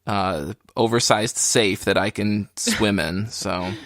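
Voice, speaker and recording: A man's voice: fairly low pitch, slow, fairly bright tone, no echo, studio quality. A man co-hosting a podcast in casual, joking chat with a wry streak of exaggeration. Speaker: podcast co-host.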